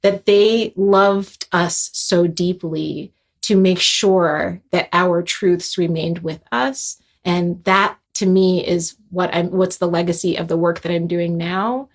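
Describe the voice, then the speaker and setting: Low-voiced woman talking slow and thoughtful, lots of ums and restarts. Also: fairly even intonation. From Haitian heritage. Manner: thoughtful, many fillers and restarts. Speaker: guest composer. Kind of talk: reflective interview talk.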